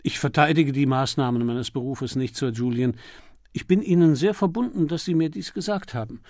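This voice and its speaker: A man's voice: medium pitch, moderate pace, darkish tone, dry recording, close to an everyday voice. A male narrator plays a discreet police detective in an audiobook. He is polite, careful and formal, with a mid-range voice. The recording is dry and close. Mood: courteous, careful. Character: cautious, courteous, discreet police detective, a man. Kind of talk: audiobook character voice.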